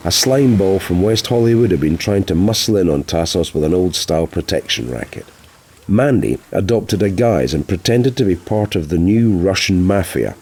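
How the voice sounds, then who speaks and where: A man's voice: low-pitched, animated, moderate pace, a little mellow, slightly rough. A man reading a novel aloud as an audiobook narrator, in a scripted storytelling style.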